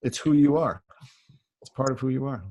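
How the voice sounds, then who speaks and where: A Canadian man coaching on public speaking, explaining things in an emphatic, conversational way with a slightly husky voice. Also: medium pitch, normal speed, mellow. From Canada (Ontario). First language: English. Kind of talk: conversational advice answering audience questions.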